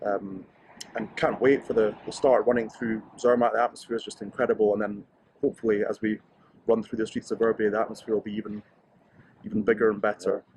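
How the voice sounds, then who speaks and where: A man's voice: lowish voice, flat delivery, fast talker, a little mellow, slight background noise, low-quality recording. A Scottish man in his thirties, answering interview questions with enthusiasm and frequent restarts. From Scotland. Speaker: interviewee, ski racer.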